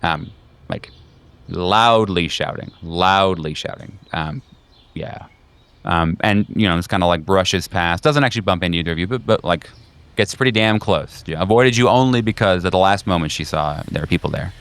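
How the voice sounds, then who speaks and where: A deep, smooth-voiced man runs a tabletop game. He narrates scenes off the cuff, conversationally and with many halting pauses. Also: a bit bright, no room sound. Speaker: game master.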